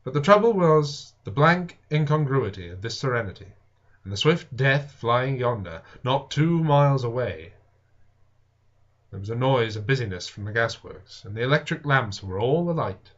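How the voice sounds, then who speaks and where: A man reading a classic science fiction novel aloud as dramatic narration, with deliberate, expressive, theatrical phrasing. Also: mid-range voice. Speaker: audiobook reader. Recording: dull lo-fi home recording.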